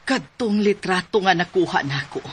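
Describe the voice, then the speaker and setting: Radio drama mother played by a woman: worried, pleading, animated delivery, Filipino accent, slight background noise. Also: on the low side, moderate pace, close to an everyday voice. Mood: pleading, warning. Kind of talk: Philippine radio drama acting.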